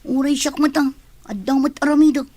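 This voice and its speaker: A woman's voice: sits high, steady tone, brisk, darkish tone, lightly acted. A woman playing a carefree, work-shy student daughter in a radio comedy drama, grumbling casually.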